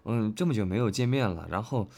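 A man's voice: fairly low pitch, fast talker, mellow. A man speaking as a voice actor in an informal, unscripted interview, thinking aloud and often repeating phrases. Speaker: voice actor, reading questions and answering. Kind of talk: casual, unscripted interview chat.

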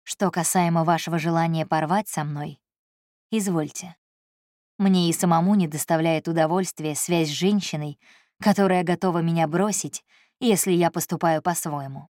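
A woman's voice: lowish voice, fairly even intonation, moderate pace, darkish tone, no room sound, clean studio sound, close to an everyday voice. A woman doing a domineering hot-tempered rich man. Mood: cold. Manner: curt, commanding, cold.

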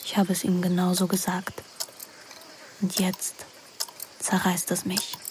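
Actress as introspective young narrator, even intonation. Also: female voice, fairly low pitch, noisy background, natural voice. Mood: tense, hurt. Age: young adult. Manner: measured, introspective. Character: young woman recounting love and intrigue, introspective, languid. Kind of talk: literary radio play narration. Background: music.

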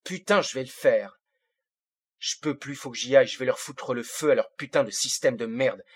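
A man's voice: medium pitch, normal speed, breathy edge, lightly acted. A man reading an audiobook as an angry fifteen-year-old boy from the housing projects, speaking bitter street slang in agitated bursts. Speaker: audiobook reader. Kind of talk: first-person audiobook monologue.

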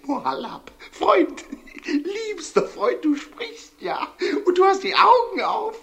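An actor playing a fawning, morbidly affectionate executioner in a German radio play, chatty and theatrical, muttering and gushing by turns. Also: male voice, extremely high pitch, huge pitch swings, slow, fairly bright tone, exaggerated voice. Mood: ecstatic. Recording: noisy old radio recording with clicks.

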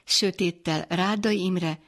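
A woman narrating the program, reading a script in an even announcing manner. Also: on the low side.

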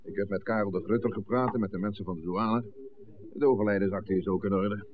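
An actor playing a protective, firm middle-aged father, brisk and reassuring, in a 1960s radio drama. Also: fairly low pitch, steady tone, close to an everyday voice. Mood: businesslike. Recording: tinny, faintly noisy 1960s radio recording.

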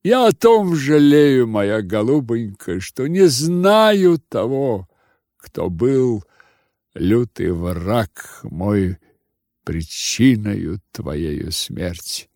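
A man's voice: lowish voice, sing-song, very slow, darkish tone, no room sound, studio quality, full character voice. Man voicing a stern, grieving old Cossack chief. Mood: bitter grief. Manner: solemn, emphatic, commanding. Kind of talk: audiobook character voice.

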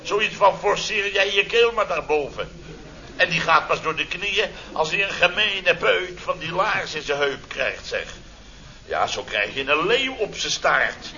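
Blustering, bright-voiced sarcastic boss in radio comedy. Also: male voice, sits high, animated, measured pace, heavily acted. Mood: indignant, sarcastic. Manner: theatrical, exclamatory, sarcastic storytelling. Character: blustering, sarcastic middle-aged contractor boss telling his mishaps. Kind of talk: radio comedy serial acting. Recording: dull, noisy old radio recording.

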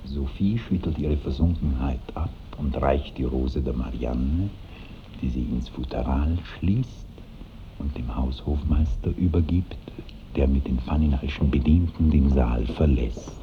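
A man narrating stage directions for a radio play in a measured, literary way. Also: rumbling low, gravelly. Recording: muffled 1960s radio with slight noise.

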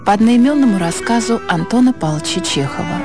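A woman's voice: a little high, animated, fast talker, over music. A woman reading scripted title announcements for a radio drama.

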